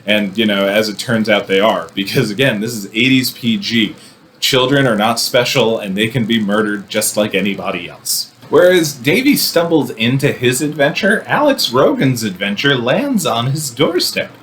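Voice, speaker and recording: A man's voice: mid-range voice, animated, normal speed, a bit bright. Wry man rambling about films, noisy recording. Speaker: film commentator. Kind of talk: casual movie review talk.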